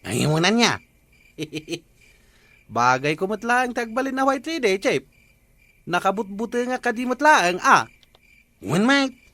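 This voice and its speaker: A very high male voice from an actor plays a village mischief-maker. He is playful and conspiratorial, in the manner of Ilocano comedy radio drama. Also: very animated, unhurried, long pauses, bright, forceful tone, dry recording, full character voice. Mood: gleeful scheming. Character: mischievous village prankster plotting to scare others.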